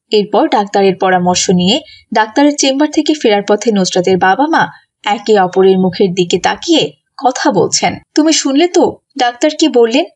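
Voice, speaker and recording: A woman's voice: medium pitch, a little mellow. A woman narrating a scripted children's story as the storyteller.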